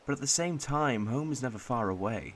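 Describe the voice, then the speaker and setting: A young man acting a wistful, homesick twenty-two-year-old in a gentle, reflective radio drama monologue. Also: mid-range voice, expressive, brisk, slight background noise, lightly acted. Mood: warmly nostalgic.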